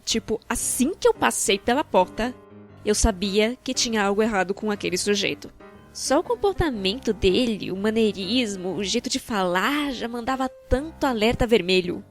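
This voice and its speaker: High-voiced Brazilian woman telling a story. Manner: expressive, wry, colloquial. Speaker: narrator of a fan-dubbed animated storytime video. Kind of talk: scripted storytime narration. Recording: background music.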